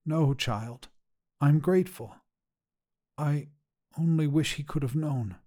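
A man voicing a kindly, grieving elderly woman in a short story, gently and consolingly. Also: a little high, slow pace, voice pushed up. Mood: tender, wistful. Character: kindly, grieving old widow, gentle and intent. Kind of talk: audio short story character voice.

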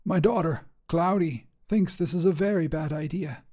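A man's voice: on the high side, moderate pace, warm tone, voice pushed up, lightly acted. A man voicing a weary, defiant middle-aged mother, drily matter-of-fact about her terminal illness, in a storybook reading. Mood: matter-of-fact, resigned.

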